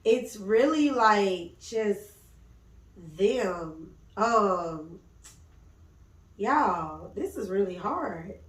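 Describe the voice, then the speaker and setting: A woman with a raspy voice bantering casually with friends on a podcast, blunt, teasing and emphatic in slangy speech. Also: medium pitch, expressive, extremely slow, pauses often. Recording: roomy echo, distant from mic.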